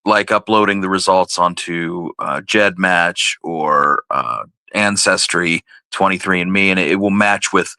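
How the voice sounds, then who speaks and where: A man co-hosting a talk show, explaining things casually in a thoughtful, slightly halting way. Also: low voice, bright, forceful tone, clear, resonant tone, no room sound. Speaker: show co-host.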